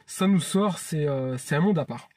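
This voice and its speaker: Husky, mellow-voiced man vlogging. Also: a little high, normal speed, dry recording. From France. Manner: earnest and chatty, often restarting phrases. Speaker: YouTube channel host. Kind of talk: casual vlog monologue.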